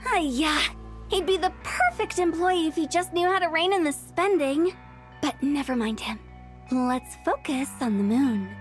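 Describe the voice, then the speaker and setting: A woman's voice: sits high, lively intonation, unhurried, soft background music. A woman voicing a playful, quirky teenage girl in a video game, casual and whimsical.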